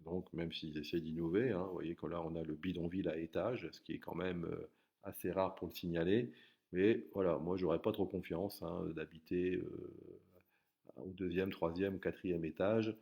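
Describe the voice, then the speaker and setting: A man's voice: deep voice, fairly even intonation, moderate pace, gravelly, warm tone, husky. A man teaching a recorded geography lesson, hesitant, with many fillers and restarts.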